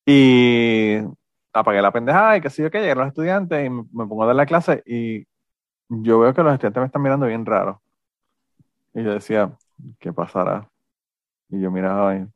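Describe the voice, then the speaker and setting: A man's voice: medium pitch, animated, moderate pace, pauses often, dry recording, highs cut off. A man with a Puerto Rican accent chatting casually, joking and swearing loosely as he tells stories. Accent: Puerto Rican Spanish. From Puerto Rico. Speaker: former university instructor. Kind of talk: casual chat.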